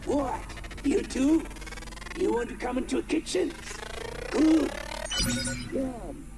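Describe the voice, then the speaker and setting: A deranged, hostile man from a video game, taunting in very slow, broken phrases. His voice sits high and menacing, with loud music swelling over it. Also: expressive, gravelly, exaggerated voice, poor audio. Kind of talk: video game character acting.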